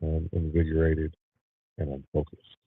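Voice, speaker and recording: A man's voice: rumbling low, moderate pace, husky, dry recording, narrow, tinny audio, faint music behind. A male podcast host chatting casually with live viewers from his home studio, sounding tired and nasally congested. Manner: relaxed, talks to live viewers. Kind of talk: casual livestream chat.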